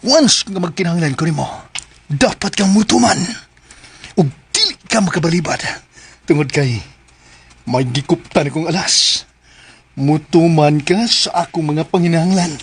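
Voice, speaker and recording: A man's voice: a little high, expressive, moderate pace, husky. A man playing a flamboyant, possessive, scheming bodyguard in a Filipino radio drama, exclaiming in a theatrical sing-song. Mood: menacing, gloating.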